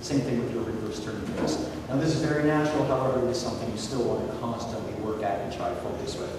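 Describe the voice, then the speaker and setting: A man with a big voice that carries, working through a student's test point by point in a measured, encouraging way. He sounds distant from the mic, and a long, booming reverb from a large hall fills in behind his words. There is steady room noise underneath. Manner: big projecting voice, methodical and encouraging. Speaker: martial arts instructor. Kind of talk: spoken feedback at a belt test. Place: large echoing training hall. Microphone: distant camera mic. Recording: echoey hall recorded from far off.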